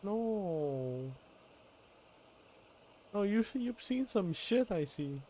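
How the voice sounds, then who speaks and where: A man's voice: high-pitched, sing-song, warm tone. Casual man streaming, faint background noise. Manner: casual, swears freely, halting. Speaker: game streamer. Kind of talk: casual live game stream commentary.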